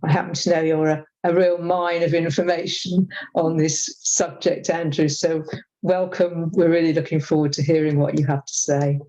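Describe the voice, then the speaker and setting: A woman chairs a rally and introduces the next speaker. She is friendly but halting, breaking off and restarting her sentences. The sound is dull and lo-fi. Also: low voice, flat delivery, warm tone.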